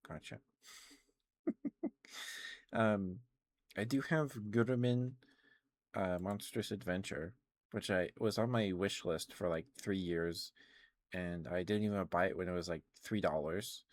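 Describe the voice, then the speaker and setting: A man's voice: low voice, unhurried, pauses often, dry recording. A man chatting casually and hesitantly on an ASMR game livestream, musing and rambling as he goes. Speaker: live streamer, video game streamer.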